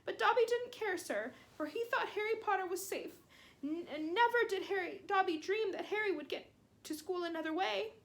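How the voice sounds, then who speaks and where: A squeaky little male house elf, servile and miserable, pleading in a high, fluttering voice that swoops up and down, as a woman reading a storybook puts him on. He talks about himself in the third person with anxious devotion. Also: expressive, normal speed.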